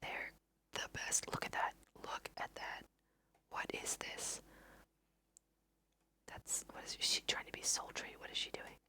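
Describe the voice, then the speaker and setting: Female ASMR gamer: slow, crisp whisper, chatty and amused, close on the mic. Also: bright, forceful tone. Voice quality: breathy, unvoiced whisper. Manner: chatty, self-amused, thinking aloud. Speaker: host of a gaming let's play. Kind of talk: ASMR whispered casual game commentary.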